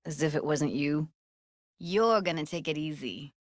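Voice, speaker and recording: An actress playing a tough, sardonic but warm-hearted young woman in a video game, talking in casual, clipped slang. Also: sits low, unhurried, dry recording, studio quality. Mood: subdued. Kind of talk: naturalistic video game voice acting.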